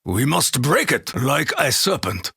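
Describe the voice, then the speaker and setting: An actor playing a proud, honor-bound Japanese warrior in a video game, with a Japanese accent, gravelly husky voice and solemn, formal, declamatory delivery. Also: male voice, mid-range voice, sing-song, measured pace, sharp tone, no room sound, clean studio sound, full character voice. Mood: grave, urgent. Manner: solemn, declamatory, formal clipped phrasing with dropped articles. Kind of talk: theatrical video game voice acting.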